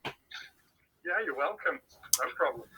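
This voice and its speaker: A man with an English accent, a missions pastor, answering interview questions in a measured way and often repeating words as he thinks. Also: fairly high pitch, expressive, bright, forceful tone, a little hiss or hum, low-quality recording. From England. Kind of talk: casual phone interview answers.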